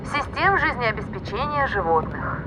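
An actress playing a sassy, hot-tempered spaceship crewmate in an audio drama, with a feisty, teasing manner. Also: female voice, a little high, big pitch swings, moderate pace. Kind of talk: Russian audio drama acting. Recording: muffled narrow sound, clear noise.

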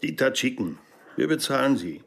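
An actor playing an earnest, insistent man in a radio drama, pleading to be believed in a husky voice. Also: medium pitch, big pitch swings, moderate pace, a bit bright, exaggerated voice. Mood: urgent, insistent. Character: insistent, earnest man pleading that his wild story is true. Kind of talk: German radio drama dialogue.

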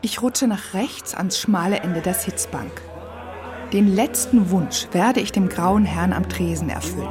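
A woman's voice: mid-range voice, a little slow. A woman reading a scripted first-person story in the present tense, as the narrator of a radio feature. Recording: music and bar crowd behind the voice. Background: music and crowd chatter.

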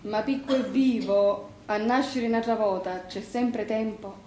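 An actress playing a bitter, defiant battered Sicilian wife in a dialect stage drama, with a Sicilian accent. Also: female voice, a little high, fairly even intonation, unhurried, a little mellow, reverberant, slight background noise, close to an everyday voice, low-quality recording. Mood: wry, relieved. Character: battered younger Sicilian sister, newly widowed, bitter, defiant.

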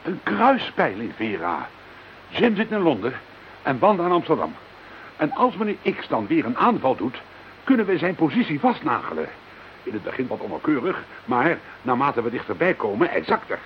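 A man playing a methodical, determined chief police inspector in a radio drama, explaining deliberately. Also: medium pitch, sing-song. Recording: dull, hissy radio drama recording.

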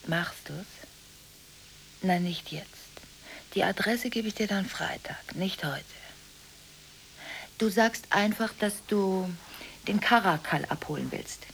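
An actress playing a volatile, emotionally contradictory married woman making frantic phone calls in a radio drama, swinging abruptly between hushed and agitated delivery. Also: mid-range voice, slow, gravelly, pauses often, slight background noise, poor audio. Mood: coaxing, secretive. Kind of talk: 1980s German radio drama monologue.

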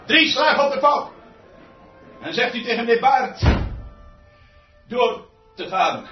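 Radio play role: grandiose, raving male conductor, high-pitched, extremely volatile delivery, paranoid and obsessive. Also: sing-song, slow pace, full character voice. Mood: barking orders. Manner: theatrical ranting, obsessive repetition, pompous wording. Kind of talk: radio drama monologue acting. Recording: muffled roomy radio play recording with music.

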